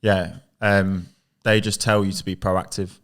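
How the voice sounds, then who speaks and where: A man's voice: sits low, flat delivery, measured pace. A man in his twenties co-hosting a casual podcast, talking earnestly with frequent restarts. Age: 20s. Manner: earnest, restarts words and backs others with "yeah". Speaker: podcast co-host. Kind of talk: casual chat.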